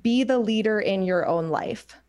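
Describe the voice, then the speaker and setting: A woman hosting a casual podcast chat, reading card passages slowly between affirming, chatty remarks. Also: medium pitch, a little mellow. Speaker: podcast host reading oracle cards.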